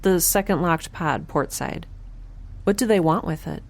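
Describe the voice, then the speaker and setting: A woman's voice: lowish voice, measured pace, creaky. A woman playing a wry, stubborn young scientist in a space audio drama, with a dry, sardonic and precise way of talking. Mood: dry, questioning. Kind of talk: audio drama acting, first-person log narration.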